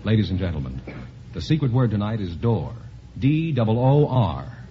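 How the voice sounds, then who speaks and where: A man's voice: fairly low pitch, sing-song, normal speed, a little hiss or hum. An American man announcing a 1950s radio show from a script in a polished, emphatic showman style. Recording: muffled vintage radio broadcast. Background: music.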